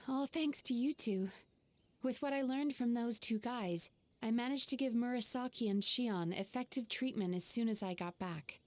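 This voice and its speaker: A woman voicing a gentle, composed young healer in an anime game, with a soft, breathy voice. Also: a little high, moderate pace, no echo, close to an everyday voice. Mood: relieved, calm.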